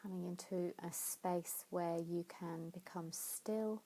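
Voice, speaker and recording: A woman leading a guided sleep meditation in a soothing, unhurried way, pausing between phrases. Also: on the low side, steady tone, warm tone, rough recording. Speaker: yoga nidra meditation teacher.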